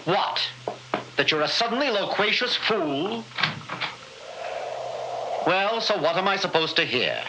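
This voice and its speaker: Radio horror role: cold middle-aged scientist, theatrical and contemptuous, very animated pitch, noisy old recording. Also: male voice, unhurried, pauses often. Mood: scornful. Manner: imperious, scornful, theatrical. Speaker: actor in radio drama. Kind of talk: 1960s radio horror acting.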